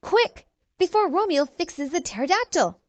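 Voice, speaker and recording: Woman voicing a cheeky, high-voiced boy superhero. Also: very animated, moderate pace, dry recording. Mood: urgent. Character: cheeky, confident young boy superhero team leader.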